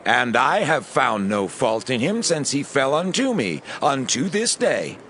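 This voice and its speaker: A man playing an earnest, conciliatory Philistine king in a dramatized scripture reading, with measured, stately declamation. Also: medium pitch, sing-song, fairly bright tone, a little hiss or hum. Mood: solemn, regretful.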